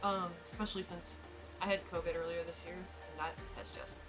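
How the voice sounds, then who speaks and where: A young woman streaming while drawing, talking in a rambling, chatty way full of fillers, her voice slightly raspy. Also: lowish voice, level tone, brisk, over music. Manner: rambling, chatty, self-interrupting with frequent fillers. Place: home streaming setup.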